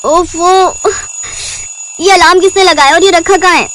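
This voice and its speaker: A woman's voice: very high voice, fairly even intonation, a little slow, a bit bright, a little hiss or hum, pitched above the performer's own voice. A woman voicing a lazy, cheeky young daughter-in-law with a whiny, insolent manner in a comedy cartoon. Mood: exasperated. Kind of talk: Hindi comedy cartoon dialogue.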